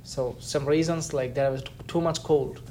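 A young man from Bangladesh, a student, answering interview questions in halting English while he searches for words. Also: mid-range voice, fairly even intonation, mellow, slight background noise.

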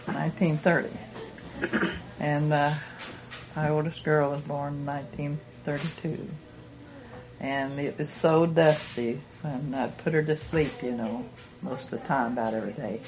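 A woman's voice: sits low, normal speed, background noise. A woman in her eighties from rural America, reminiscing as an interviewee in a group. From rural United States. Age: 80s.

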